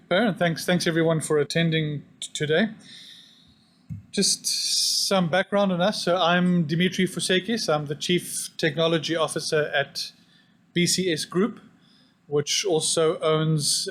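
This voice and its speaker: A man giving a technical talk at a conference, brisk and dotted with uhs and restarts. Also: fairly high pitch, fairly even intonation, a bit bright. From South Africa. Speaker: conference presenter. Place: conference hall.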